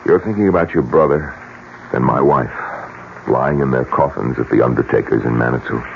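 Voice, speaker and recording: An actor playing a blunt, practical businessman with a husky voice and a forceful, combative manner in an old radio drama. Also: very deep voice, brisk, slight background noise. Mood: weary, wry. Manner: forceful, emphatic, rhetorical. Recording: tinny, narrow old radio recording.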